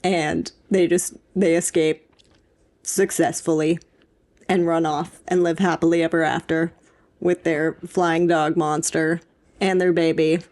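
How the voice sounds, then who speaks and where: A woman's voice: sits low, flat delivery, unhurried, a little mellow. A young woman co-hosting a podcast, giving her opinions in an informal, emphatic way. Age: young adult. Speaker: podcast co-host. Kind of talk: casual opinionated discussion chat.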